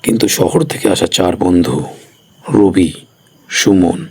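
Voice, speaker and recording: A man's voice: low-pitched, slow pace, gravelly, darkish tone, breathy edge. A man narrating a scripted horror story as a storyteller.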